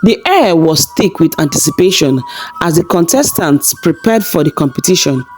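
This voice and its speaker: Low-pitched, expressive woman telling a folktale. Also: moderate pace, soft background music. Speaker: storyteller, narrator. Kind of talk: scripted folktale narration.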